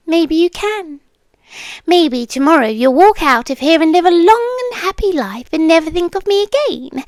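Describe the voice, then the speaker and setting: A woman playing an ancient, possessive female monster in an ASMR roleplay, with a British accent and a hushed, teasing delivery that often drops into whispers. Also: high voice, no room sound, clean studio sound, exaggerated voice. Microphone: close microphone.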